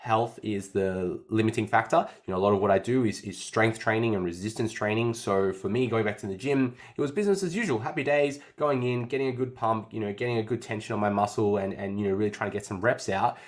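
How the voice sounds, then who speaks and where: A man's voice: fairly low pitch, quick pace, few pauses. A man, a fitness coach hosting a casual podcast, slightly nasal after an illness and chatty, often repeating phrases.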